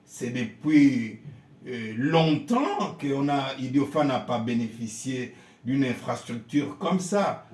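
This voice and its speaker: A man from Congo, a pastor answering interview questions in a slow, halting way and often repeating his words. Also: medium pitch, expressive, darkish tone. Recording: roomy echo.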